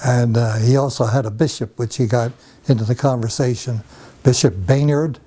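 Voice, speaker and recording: Older man from New Jersey, mellow voice. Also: mid-range voice, steady tone, husky. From New Jersey, USA. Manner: unhurried, pauses often with many fillers. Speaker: interviewee. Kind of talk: oral history interview. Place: home.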